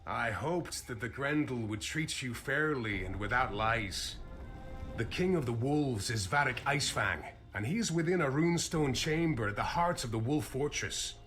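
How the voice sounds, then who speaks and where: An actor voicing a calm male quest-giver in a game, measured and advising. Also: mid-range voice, slight background noise, lightly acted.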